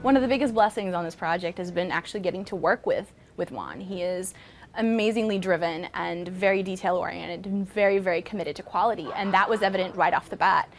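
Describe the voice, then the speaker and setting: A woman's voice: fairly low pitch, brisk, soft background music. A woman on a project team talking casually in an interview.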